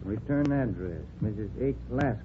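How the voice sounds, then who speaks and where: A man's voice: on the low side, expressive, normal speed, lightly acted. A man playing a polite, practical police detective in a 1940s radio drama, talking in a plain, businesslike way. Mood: practical, probing. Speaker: actor in a radio detective series. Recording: tinny 1949 radio recording with music.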